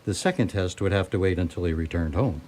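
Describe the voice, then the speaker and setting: A man's voice: sits low, fast talker, darkish tone. A man narrating a scripted radio drama as storyteller.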